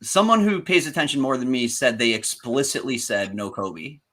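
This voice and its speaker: A man's voice: mid-range voice, moderate pace, fairly bright tone. A young man hosting a live stream, chatting enthusiastically and praising his guest. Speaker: stream host. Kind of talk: casual live stream chat.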